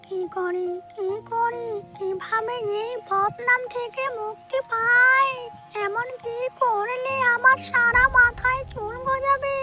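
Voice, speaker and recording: A performer voicing a shrill, whining, vengeful female witch ghost in a cartoon, given to theatrical repeated exclamations. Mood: aggrieved. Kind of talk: Bengali cartoon voice acting. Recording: loud music behind the voice.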